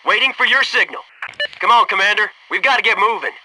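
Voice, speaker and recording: An actor playing a brash, wisecracking American military commando in a video game, with clipped, gung-ho tactical talk and wry slang. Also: male voice, high-pitched, fast, recorded from a distance, noisy background, lightly acted. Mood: urgent, businesslike. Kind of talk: video game voice acting, tactical battlefield barks.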